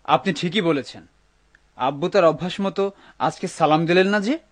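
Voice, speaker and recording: A man's voice: on the high side, normal speed, close to an everyday voice. Dutiful, devout son, acted by a man. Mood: earnest. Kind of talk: dubbed cartoon acting.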